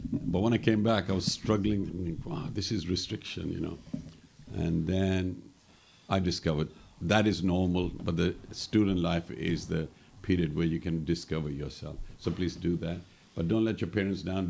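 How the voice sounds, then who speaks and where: A man's voice: deep voice, creaky, mellow, a little hiss or hum. A man in his seventies from Kenya, giving a rambling, anecdotal talk to students, with many restarts and fillers. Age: 70s. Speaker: temple speaker.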